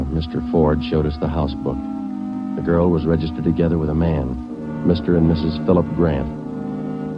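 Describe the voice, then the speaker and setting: An actor playing a terse, hard-boiled police detective who narrates a 1940s radio crime drama in a clipped, flat, matter-of-fact way. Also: male voice, extremely low pitch. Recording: dull old radio broadcast recording.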